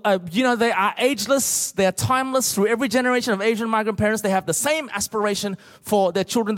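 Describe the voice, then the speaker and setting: Male preacher, middle-aged, Australian accent. Notably high voice, emphatic comic storyteller, big reverberant hall. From Australia, born in Malaysia. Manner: emphatic, rhetorical questions to the congregation. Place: large church auditorium, speaking to a congregation.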